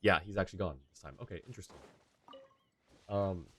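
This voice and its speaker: A man's voice: low-pitched, brisk, a bit bright, slight background noise. A man commenting live on a game he plays, muttering to himself and reading on-screen text. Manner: laughs easily, mutters to himself while playing. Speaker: game streamer. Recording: game music behind. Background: game music.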